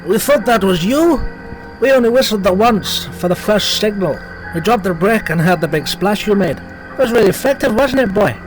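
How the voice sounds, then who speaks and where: A man's voice: sits high, expressive, normal speed, soft background music, rough recording. A man playing a brusque, teasing train driver in an audio drama, matter-of-fact in manner. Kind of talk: audio drama character acting.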